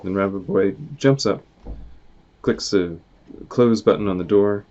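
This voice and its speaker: A man with a low voice reads a storybook aloud. He is casual and acts out the lines and noises as he goes. The recording is dull and slightly muffled. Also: measured pace, a little mellow. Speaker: author reading their own comic aloud. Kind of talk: animated storybook read-aloud with sound effects.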